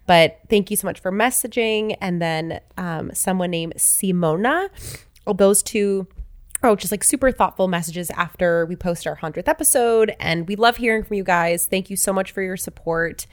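A woman from Michigan co-hosts a podcast in a dry, close-miked sound. Her pitch sits mid-range. She chats loosely, giggling and tossing in quick asides. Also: dry recording. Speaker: podcast co-host. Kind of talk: casual chat.

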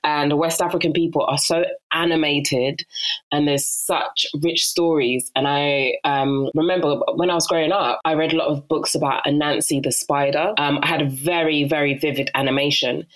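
Low-voiced woman, animated podcast guest. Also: moderate pace, rough recording. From Ghana. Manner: animated, laughs often, many fillers. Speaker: podcast guest, actress and narrator. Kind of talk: casual interview chat.

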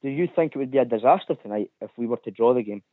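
A man's voice: medium pitch, lively intonation, racing, no room sound. A man calling in to a football radio show, talking hesitantly with many fillers. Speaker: caller. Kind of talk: phone-in chat. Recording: phone line.

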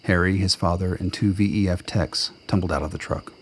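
A man narrating a scripted radio drama in a measured, wry storytelling manner. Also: low-pitched, fairly even intonation, slight background noise.

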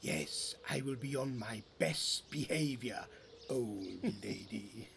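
An older husband in an audio play, grumbling with deadpan dryness. His delivery is unhurried but swings up and down in pitch. There is a faint noise on the recording. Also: creaky, exaggerated voice. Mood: wry grumbling. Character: grumbling older husband, long married, drily teasing.

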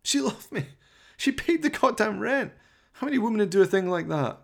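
A man voicing a high-strung, indignant young man who protests and pleads shrilly in a comic radio play. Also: expressive, brisk, creaky, a bit bright, full character voice. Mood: wounded, protesting.

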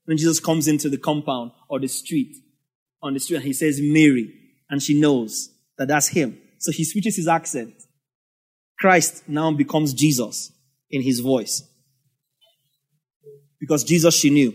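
A man's voice: fairly high pitch, measured pace, pauses often, a little mellow, husky. A man with a Nigerian English accent preaching a sermon as a pastor, telling the story in a conversational way with asides.

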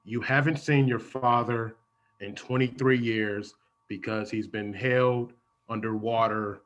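Mid-pitched exasperated film director played by a man. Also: steady tone, lo-fi sound, close to an everyday voice. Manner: halting, repetitive. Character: exasperated film director pleading with his actor. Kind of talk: improvised audio comedy.

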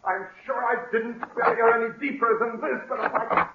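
An actor playing a scheming, falsely solicitous murderer in a 1940s radio mystery, with theatrical, hesitant politeness and a coaxing undertone. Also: male voice, very high-pitched, fairly even intonation, brisk, very noisy. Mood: uneasy, insistent. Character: smooth, scheming male murderer, nervy yet falsely solicitous. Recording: muffled, lo-fi 1940s radio recording.

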